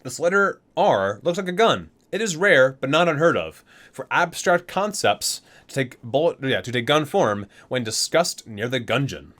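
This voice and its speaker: Male ASMR host, medium pitch, highly varied melody; read-aloud delivery broken up by offhand, casual remarks. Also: big pitch swings, normal speed, fairly bright tone. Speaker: host of an ASMR reading and unboxing video.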